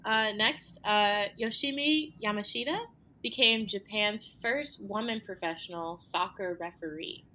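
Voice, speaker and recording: Bright-voiced woman podcast co-host. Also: medium pitch, slight background noise, low-quality recording. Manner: lively, quick to laugh and agree. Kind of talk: casual podcast chat.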